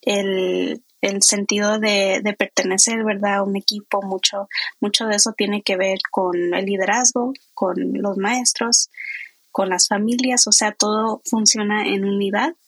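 A woman's voice: medium pitch, fairly even intonation, measured pace, slightly rough, dry recording. A woman hosting a podcast interview as a coach, speaking in a friendly way with frequent fillers.

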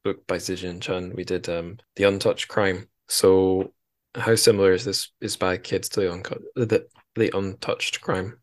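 A man's voice: deep voice, little pitch movement, a little slow. A man hosting a podcast in a casual book discussion, chatty and wry, rambling as he goes. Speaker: podcast host.

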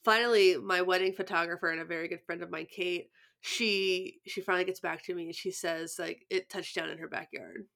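A woman's voice: fairly low pitch, fairly even intonation, a little fast, creaky, hardly any pauses, a bit bright. A young adult woman co-hosting a podcast, chatting casually and rambling through stories with many restarts, sounding stuffed up with sniffles. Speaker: podcast co-host.

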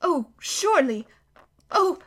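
A worried, sensible girl, put on by a woman, pleading urgently and close to tears. The voice rises high and strained. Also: big pitch swings, takes it slowly, full character voice. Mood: distressed.

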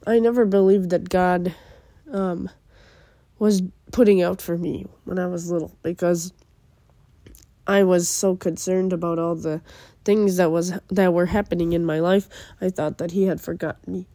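A young woman with a stuffy cold, speaking in an earnest, halting way. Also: lowish voice, fairly even intonation, dark tone, no room sound. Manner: earnest, halting, with fillers and restarts. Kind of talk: personal reflection and poetry reading.

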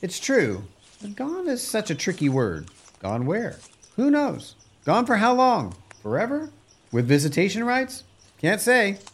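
A man's voice: sits high, huge pitch swings, a little slow, slightly rough, heavily acted. A man playing an earnest, plain-spoken young rancher in a family radio play, with rueful country phrasing. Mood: wry.

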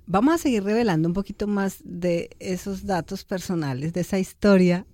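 A woman's voice: mid-range voice, fairly quick, dark tone, dry recording. Chatty woman interviewer, dry close sound. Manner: chatty, informal, uses diminutives. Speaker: interview programme host. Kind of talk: conversational radio-style interviewing.

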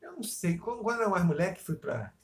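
A man's voice: sits high, very animated, fast talker, creaky. A man in casual podcast chat, rambling, swearing freely, trailing off and restarting. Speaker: podcast co-host.

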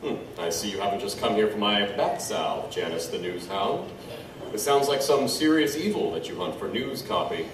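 A man playing a wry, knowledgeable tribesman in an audio drama, measured and musing as he tells lore. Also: on the low side, lively intonation, lightly acted. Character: wry, knowledgeable Chinook tribesman, old friend and lore-teller. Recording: roomy, distant, faint background noise.

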